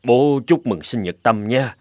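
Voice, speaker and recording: A man voicing a gentle, reassuring father in a dubbed cartoon. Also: medium pitch, animated, unhurried, dry recording, clean studio sound, lightly acted. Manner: warm, kindly, reassuring. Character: gentle, hardworking young father. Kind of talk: cartoon dubbing.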